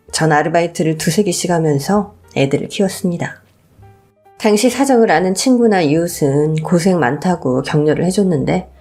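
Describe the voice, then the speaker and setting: A woman narrates a scripted personal story in the first person. Her voice sits a little low, with a confiding storytelling delivery. Light music runs behind her. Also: mellow.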